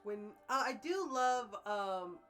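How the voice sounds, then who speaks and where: A woman's voice: mid-range voice, lively intonation, slow, a bit bright, faint music behind. A woman in a casual, playful back-and-forth, swearing freely and riffing on odd phrases, sometimes reading aloud with mock drama. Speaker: co-reader and commentator on a comedy reading stream.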